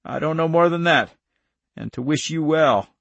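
A man's voice: fairly high pitch, moderate pace, creaky, a bit bright, no echo, dull audio, voice pushed up. A man voicing a wounded older farmer in an audiobook story, plainspoken, stern and stubborn, with folksy country talk. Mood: resolute. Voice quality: breathless.

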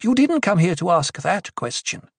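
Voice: mid-range voice, slow pace, dry recording, pitched above the performer's own voice. A narrator voicing a fierce young prophetess in an audiobook, commanding and intoning cryptic pronouncements to a crowd. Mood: sharp, angry. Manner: commanding, ritual intoning that turns sharp.

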